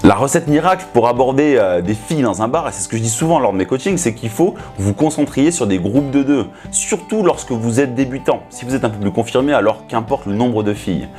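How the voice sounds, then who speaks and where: A man's voice: medium pitch, animated, a little fast, few pauses, darkish tone, soft background music. A man coaching in a didactic, instructional way, speaking directly to the viewer. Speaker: seduction coach hosting a video. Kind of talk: instructional talk to camera.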